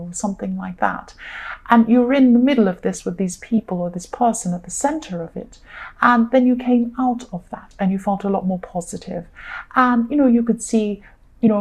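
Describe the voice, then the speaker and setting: Croaky-voiced woman giving a tarot reading. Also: medium pitch, darkish tone. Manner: chatty and reassuring, many fillers and restarts. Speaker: tarot reader. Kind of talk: solo tarot reading monologue.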